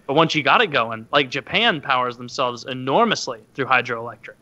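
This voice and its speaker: A man's voice: mid-range voice, animated, a little fast, pushed and bright, muffled recording. A man in casual chat over a video call, blunt and swearing.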